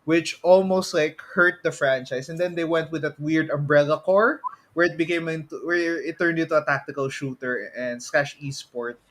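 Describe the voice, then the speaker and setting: A man's voice: fairly high pitch, moderate pace, a bit bright. A man with a Filipino accent hosting a casual podcast, mixing Tagalog particles into his English. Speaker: podcast host.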